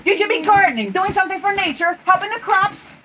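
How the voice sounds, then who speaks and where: A cranky, bossy storybook rabbit, acted by a woman barks his orders in a high, loud voice. He snaps at everyone around him. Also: expressive, fast, slight background noise, exaggerated voice. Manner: snappish and loud. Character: bossy, irritable male rabbit gardener.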